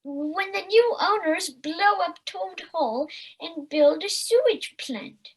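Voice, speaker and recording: Woman as a high-voiced, cheeky squirrel. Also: expressive, takes it slowly, full character voice, low-quality recording. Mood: chirpy. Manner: playful, needling. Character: cheeky, teasing female squirrel. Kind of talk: amateur audio play acting.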